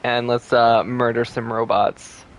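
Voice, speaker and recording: A man's voice: medium pitch, unhurried, rough recording. Chatty male game streamer over music. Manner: relaxed, chatty, thinking aloud. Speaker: game livestreamer. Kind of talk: casual live gameplay chat with viewers. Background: video game music.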